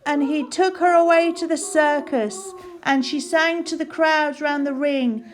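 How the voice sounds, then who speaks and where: A woman narrating a rhyming children's storybook aloud in a measured, sing-song way. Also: high-pitched.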